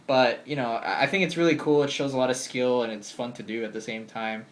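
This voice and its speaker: A man's voice: medium pitch, fairly even intonation, brisk. A man of twenty answering viewer questions in a casual vlog, chatty and upbeat, full of ums and likes. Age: 20s. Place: bedroom with game shelves.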